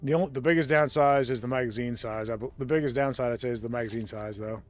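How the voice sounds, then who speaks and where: A man casually talking through a co-op space game on stream, chatty and quick to laugh, thinking aloud with plenty of ums. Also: mid-range voice. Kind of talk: casual gameplay commentary. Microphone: desk mic with noise suppression.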